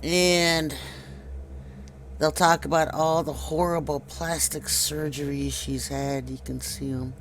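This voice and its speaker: A man's voice: a little high, level tone, takes it slowly, fairly bright tone, a little hiss or hum. A man hosting an informal video commentary, insistently pointing things out to his viewers. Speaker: video host. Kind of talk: informal conspiracy commentary over pictures.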